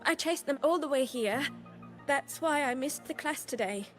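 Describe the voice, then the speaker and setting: A woman's voice: sits high, normal speed, soft background music, natural voice. An actress playing a cheerful, eager young woman student in a game, chatty in manner.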